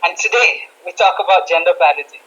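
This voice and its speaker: A man's voice: high voice, fast talker, a bit bright, dull audio. A man from India, hosting a casual podcast chat and poking fun at himself. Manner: talkative, self-mocking, many restarts. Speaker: podcast host.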